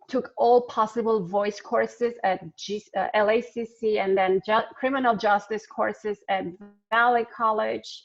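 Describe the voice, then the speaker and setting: A woman's voice: medium pitch, creaky, fairly bright tone. A middle-aged woman from Armenia with an Armenian accent, chatting casually on a video call, with frequent ums and little laughs. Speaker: alumna speaker. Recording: slightly dull video-call audio.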